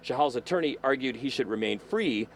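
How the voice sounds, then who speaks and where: A male field reporter speaking in a measured news delivery, outdoors. Faint traffic and street sound hum behind him. His pitch sits in an ordinary middle range. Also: lively intonation. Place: outdoors by a courthouse.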